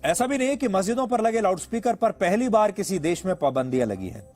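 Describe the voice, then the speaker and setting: A man's voice: high voice, lively intonation, fast, a little mellow. A man presenting as a news anchor, delivering an editorial commentary in an emphatic, rhetorical, explanatory manner.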